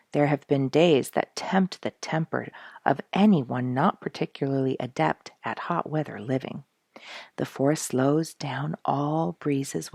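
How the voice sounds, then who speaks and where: A woman narrating a storybook chapter aloud with expressive, dramatic emphasis. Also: deep voice, gravelly, husky, no echo, clean studio sound.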